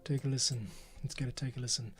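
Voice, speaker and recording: A man's voice: mid-range voice, steady tone, fast talker, fairly bright tone. A man hosting and editing a podcast, thinking aloud in casual commentary that drops into muttered asides. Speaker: podcast host and editor. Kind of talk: casual screen-recording commentary. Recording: faint music and keyboard typing behind. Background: faint music, computer keyboard typing.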